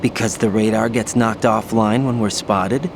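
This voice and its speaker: A man's voice: on the low side, steady tone, normal speed, background noise, close to an everyday voice. An actor playing a young male special-forces operative, earnest and full of questions.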